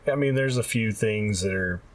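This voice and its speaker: A man's voice: lowish voice, normal speed, distant mic. An adult man co-hosting a podcast in casual conversation. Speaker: podcast co-host. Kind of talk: casual chat.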